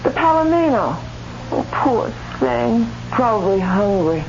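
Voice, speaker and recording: An actress in a vintage radio drama playing an uneasy, tender-hearted young wife with a gentle, anxious manner. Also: female voice, on the high side, sing-song, a little slow, gravelly, heavily acted. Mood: sleepy, wistful. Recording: dull, very noisy vintage radio recording.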